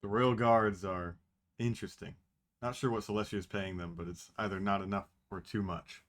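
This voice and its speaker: A man's voice: fairly low pitch, steady tone, moderate pace, a bit bright. A man making dry, sarcastic remarks about a story during a reading.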